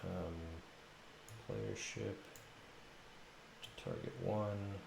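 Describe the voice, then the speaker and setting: A man's voice: on the low side, sing-song, extremely slow, creaky. A man thinking aloud as he codes on a stream, mumbling to himself in short fragments. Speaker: game developer streamer. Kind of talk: thinking aloud while coding. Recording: echoey room, loud keyboard clatter and noise. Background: computer keyboard typing and mouse clicks.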